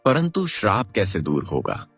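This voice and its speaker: A man's voice: lowish voice, huge pitch swings, unhurried, fairly bright tone, slightly rough, soft background music, exaggerated voice. A man playing an honest, simple village farmer in a cartoon story, sincere and questioning. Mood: puzzled, thoughtful.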